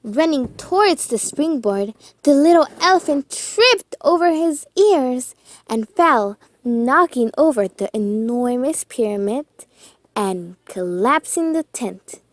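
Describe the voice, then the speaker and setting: A woman reading a children's storybook aloud with expressive, deliberate phrasing and dramatic emphasis. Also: high-pitched, no room sound.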